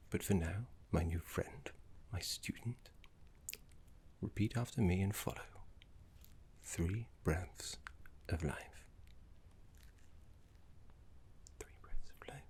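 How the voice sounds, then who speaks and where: A man voicing a gentle, courteous, scholarly older necromancer teacher in a soft-spoken, encouraging ASMR roleplay, with a breathy whisper. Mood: calm, soothing. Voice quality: breathy, creaky low whisper. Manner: soft-spoken, genteel, encouraging, slightly fussy.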